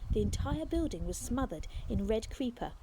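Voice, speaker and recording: A woman reading a children's novel aloud as narrator, in the expressive manner of a teacher reading to pupils. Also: fairly high pitch, normal speed, noisy background.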